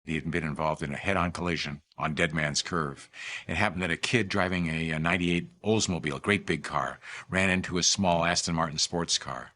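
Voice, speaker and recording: Older american guy, low deep voice, casually telling old family stories. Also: fairly even intonation, brisk, bright, forceful tone. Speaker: interviewee recalling family memories. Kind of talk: casual reminiscing.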